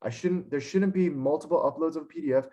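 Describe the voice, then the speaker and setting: A young man with a hoarse voice teaches a class over a video call. He scolds the students in a frustrated way, filling his talk with "um" and "like." The sound is that of a computer microphone. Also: a little high, fast talker, mellow. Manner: scolding, frustrated, many fillers. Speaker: instructor. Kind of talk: online lecture. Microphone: computer mic on a video call.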